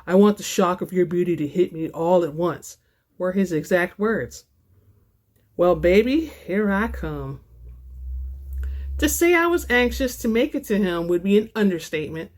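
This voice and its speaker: A woman's voice: fairly low pitch, expressive, moderate pace. A woman hosting a book channel, moving between casual chat to viewers and dramatic reading aloud.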